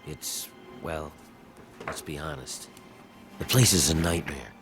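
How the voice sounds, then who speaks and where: A man's voice: a bass voice, takes it slowly, heavily acted. An actor playing a weary, desperate rebel in a video game, pleading earnestly and dramatically for his enslaved people. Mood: grim, urgent. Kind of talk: video game dialogue acting. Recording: game audio with background music.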